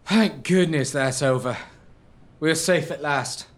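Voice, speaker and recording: A man's voice: fairly high pitch, takes it slowly. A man playing a gallant, confident young lover, earnest and self-assured, in a gothic comedy audio drama. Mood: relieved, tender.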